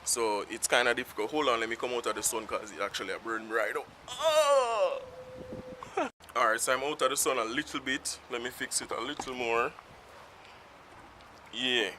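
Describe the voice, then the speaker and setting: A man with a Jamaican accent talks loosely to his own camera outdoors, letting his thoughts ramble on without a script. His voice is very bright and middle-pitched, and faint street traffic drifts in behind him. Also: expressive, normal speed. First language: Jamaican Patois. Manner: rambling, unscripted, free-flowing. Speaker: vlogger. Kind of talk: casual talk to camera. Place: outdoors in town, in the sun. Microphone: self-filmed camera.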